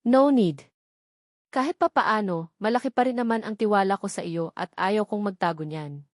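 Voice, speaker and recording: An irritable young Filipino woman, reluctant and blunt, as read by a female narrator in a romance audiobook. The voice is middle-pitched and recorded very dry. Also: normal speed, no room sound, natural voice. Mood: blunt, dismissive. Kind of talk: audiobook character dialogue.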